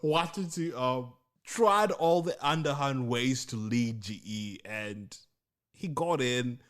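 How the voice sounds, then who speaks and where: A man with a Kenyan accent co-hosting a podcast, laughing often in easy chat. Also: medium pitch. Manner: slow, laughs often, lively intonation. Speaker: podcast co-host. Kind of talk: casual chat.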